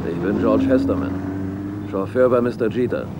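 An actor playing a curt, guarded, tough chauffeur in a German radio crime drama, terse and formal. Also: male voice, on the low side, moderate pace, lightly acted. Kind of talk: German radio play acting. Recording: dull sound, loud vehicle noise.

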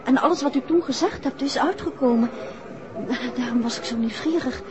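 High-voiced detective's wife played by an actress. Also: female voice, fairly quick, lightly acted. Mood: politely probing. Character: detective's wife, inquisitive, affectionate, mildly complaining. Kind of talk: 1960s Dutch radio drama acting. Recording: dull old radio recording, crowd noise.

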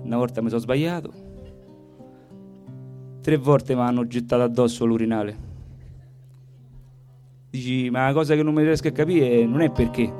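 A man's voice: medium pitch, moderate pace, pauses often, dark tone. A man with a Roman accent delivering a theatrical, comic stage monologue in a colloquial, rhythmic storytelling way. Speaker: stage performer, singer. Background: plucked guitar music.

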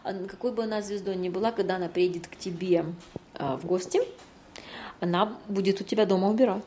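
A woman's voice: mid-range voice, fast, mellow, slight background noise. A woman in her thirties from Ukraine, a professional matchmaker, answering questions in an interview. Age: 30s.

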